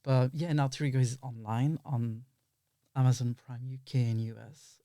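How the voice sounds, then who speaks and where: Mellow man chatting candidly, close dry sound. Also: medium pitch, steady tone, moderate pace, no room sound. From Belgium. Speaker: podcast guest, filmmaker. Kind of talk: casual interview chat.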